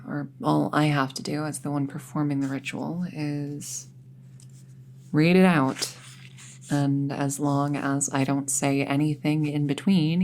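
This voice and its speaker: Slow, low-voiced, careful young witch, played by a woman. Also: slight background noise. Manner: hesitant, halting, gently reassuring. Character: earnest, careful apprentice witch, close friend to listener. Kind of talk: intimate roleplay audio drama.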